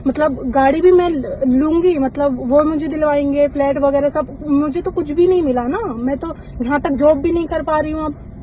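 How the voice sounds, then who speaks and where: High-voiced woman, accusing and insistent, heard over a phone call. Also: moderate pace, warm tone, slight background noise. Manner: insistent, accusing, repeats her points. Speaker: party in a leaked phone call. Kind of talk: heated phone argument.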